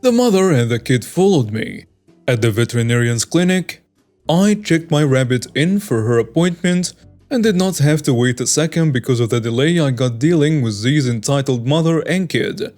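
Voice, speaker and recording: A man reading online stories aloud in a steady, scripted narration. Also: mid-range voice, normal speed. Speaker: story narrator. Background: faint background music.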